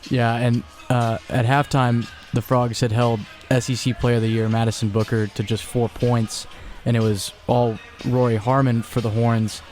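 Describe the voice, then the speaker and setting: A man's voice: mid-range voice, level tone, normal speed, a little mellow, soft background music. A young man hosting college radio, chatting between songs and running a postgame show. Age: young adult. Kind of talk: radio DJ patter and postgame talk.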